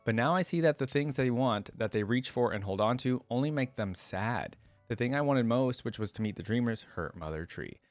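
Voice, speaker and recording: A man voicing a shy, clumsy young female fawn spirit as he reads a fantasy story aloud in a gentle, hesitant manner. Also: medium pitch, lively intonation, fairly quick, hardly any pauses, no room sound, faint music behind, lightly acted. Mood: wistful. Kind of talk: first-person fantasy story read aloud.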